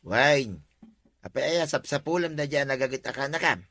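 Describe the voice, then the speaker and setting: A blunt village buddy played by a man in a comedy audio drama, mocking and dismissive. His voice is bright and forceful, recorded very dry. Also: medium pitch, animated, normal speed, no room sound. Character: blunt, mocking village pal at the tricycle stand. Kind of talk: comedy radio drama acting.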